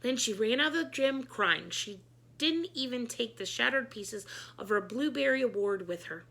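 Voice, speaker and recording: Female storyteller for kids' read-aloud: expressive, forceful bright tone, creaky. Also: on the high side, normal speed. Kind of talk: expressive children's book narration.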